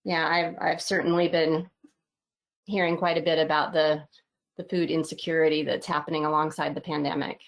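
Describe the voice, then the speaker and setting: A woman facilitating an online meeting, giving brisk, appreciative acknowledgments over a video call. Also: low-pitched, flat delivery, creaky.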